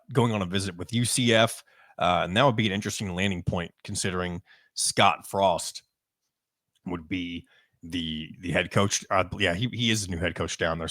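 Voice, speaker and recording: Low-voiced man hosting a sports podcast. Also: a bit bright, no echo, clean studio sound. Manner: conversational, frequent ums and restarts. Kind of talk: casual sports podcast talk.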